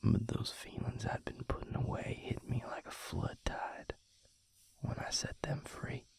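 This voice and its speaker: A man playing a tender, weary vampire lover in an intimate audio roleplay, speaking haltingly in a hushed, breathy, gravelly voice. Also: close to an everyday voice. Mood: raw, vulnerable. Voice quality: breathy, husky, gravelly half-whisper. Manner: hushed, halting, with long pauses.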